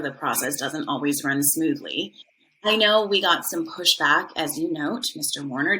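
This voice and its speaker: A woman's voice: medium pitch, bright, forceful tone, a little hiss or hum, lightly acted, rough recording. A woman playing an earnest, proud middle-aged social studies department chair explaining and defending her program in a dramatized monologue. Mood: conceding, reasoned.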